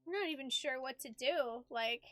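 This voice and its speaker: A woman's voice: high voice, normal speed, pushed and bright, no echo. A woman chatting casually on a game livestream, rambling and hesitant in an informal way. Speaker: streamer doing a game playthrough.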